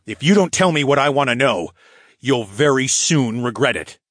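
A man plays a tough young-hearted dragon hero in a fantasy audiobook. The voice is husky and animated in pitch, with a cocky, needling, sarcastic manner. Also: normal speed, dry recording, clean studio sound. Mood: threatening. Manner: taunting, sneering.

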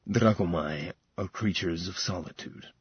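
A man's voice: low voice, unhurried, breathy edge, no echo, lo-fi sound, voice pushed down, heavily acted. A man doing a grave, weary dragon-shifter.

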